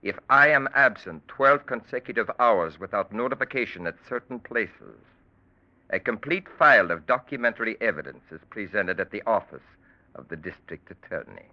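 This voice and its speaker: An old muffled radio crime drama recording. A man plays a calculating surgeon with a low voice and wide-swinging intonation. His speech is formal and precise, with a cold, unruffled menace. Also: animated, normal speed, natural voice. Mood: cold, menacing. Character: cold, calculating male surgeon extorting his criminal patients.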